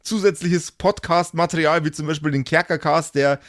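A man's voice: fairly high pitch, steady tone, moderate pace, dry recording. A man hosting a podcast in a jokey, self-deprecating, rambling, casual way. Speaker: podcast host and game master. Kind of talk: casual, improvised outro chat.

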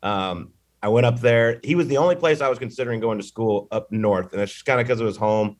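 A man's voice: medium pitch, fairly quick. A man in his thirties with a Texas accent, telling stories in an easygoing, casual way as an interview guest. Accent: Texan. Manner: easygoing storyteller with fillers. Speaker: golf broadcaster, podcast guest.

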